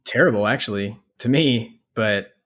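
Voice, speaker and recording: A man's voice: fairly low pitch, normal speed, fairly bright tone. Male podcast co-host, thirties, informal and wry, conversational, repeats himself for emphasis. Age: 30s. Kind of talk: casual chat.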